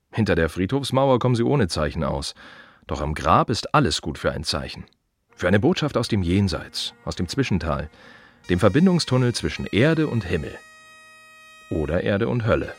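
A reflective gravedigger in an intimate radio monologue. The voice is low and husky, recorded very dry and close. He muses in short, plain fragments with wry, quiet observation. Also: no room sound, full character voice. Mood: wry, musing. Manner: musing, short fragmentary phrases with pauses. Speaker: monologue actor in a radio play.

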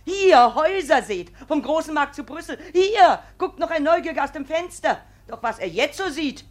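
A stubborn village widow in a classical stage-style radio play. She is high-pitched and indignant, laying out her complaint with plaintive, long-winded emphasis. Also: expressive, normal speed. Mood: plaintive, insistent. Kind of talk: classical verse radio drama.

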